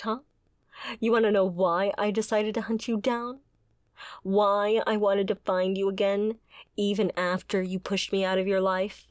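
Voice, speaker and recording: A woman's voice: mid-range voice, no echo, studio quality, natural voice. A woman playing a fiery, bitter mercenary and wounded former bodyguard in a confrontational, sardonic audio roleplay. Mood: pained, insistent. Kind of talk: dramatic ASMR roleplay acting.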